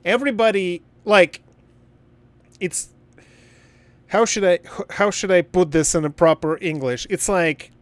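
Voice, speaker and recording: A man's voice: high voice, a little slow, pauses often, no room sound. A man livestreaming a game and chatting casually, in a blunt, joking and profane way. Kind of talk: casual livestream chat while gaming.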